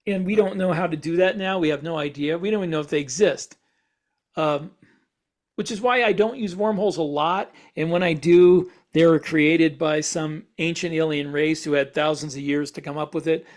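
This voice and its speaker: Guy with a slightly high voice explaining stuff on a podcast, stop and start, casual. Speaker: podcast co-host and science fiction author.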